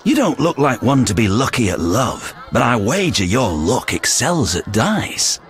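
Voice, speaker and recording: Wildly expressive wheedling gambler. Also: male voice, mid-range voice, measured pace. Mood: coaxing. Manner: polite, flowery, insinuating. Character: smooth-talking, wheedling male dice gambler. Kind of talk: video game dialogue acting.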